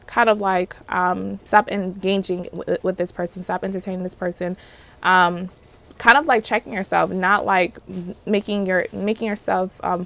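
A woman's voice: mid-range voice, creaky, a little hiss or hum. A woman with a Haitian accent giving a casual tarot reading, chatty, often asking "okay?" and calling on the universe as she pulls cards. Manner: chatty, repeats "okay?" and "universe, clarify" while pulling cards. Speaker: tarot reader. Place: indoors at a table covered in card decks.

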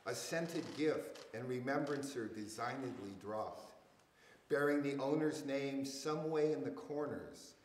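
An older man reciting poetry with deliberate, measured phrasing. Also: medium pitch. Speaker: poet reading at a public reading. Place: indoors in a church hall. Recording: echoey hall reverb.

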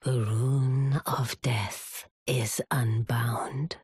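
An actress playing a solemn, mysterious maiden of doom in a game cutscene, breathy, hushed and portentous. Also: female voice, fairly even intonation, no room sound, exaggerated voice. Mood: solemn, foreboding. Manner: slow, portentous. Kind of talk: dramatic game cutscene acting. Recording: loud rumbling game ambience behind.

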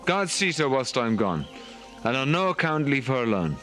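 A man's voice: medium pitch, big pitch swings, normal speed, a bit bright, soft background music. A man voicing a brave young prince in a puppet drama, firm and declamatory. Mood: resolute. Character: noble young prince Ram, brave and commanding.

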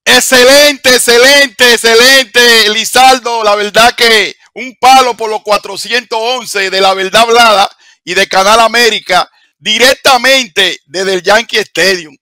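Older man hosting with bright, emphatic delivery. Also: very high voice, unhurried, no room sound. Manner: emphatic, repeats words for effect. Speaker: studio host. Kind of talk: television sports show hosting. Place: studio with home-style set, indoors. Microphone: headset.

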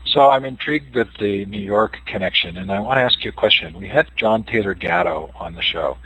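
A man's voice: on the low side, brisk, a bit bright, breathy edge, low-quality recording. A man hosting a conversational interview show, asking long questions with some fillers. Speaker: host. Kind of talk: interview podcast.

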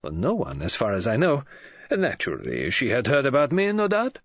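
A male narrator acts a pompous older foreign detective in an audiobook. He is formal, deliberate and sure of himself, with very lively pitch movement. The sound is slightly dull. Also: slightly rough, no room sound, full character voice. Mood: self-assured. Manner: formal, deliberate, self-assured.

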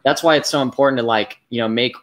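A young man hosting a live interview over a video call from a small room, talking in a relaxed way with plenty of fillers. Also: medium pitch, quick pace. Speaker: business coach host. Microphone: webcam on video call.

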